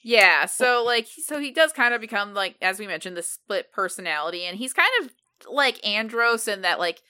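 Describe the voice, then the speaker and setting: A woman's voice: mid-range voice, lively intonation, normal speed, bright, forceful tone, clear, resonant tone. A woman co-hosting a casual fan podcast, joking and chatty with plenty of fillers. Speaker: podcast co-host.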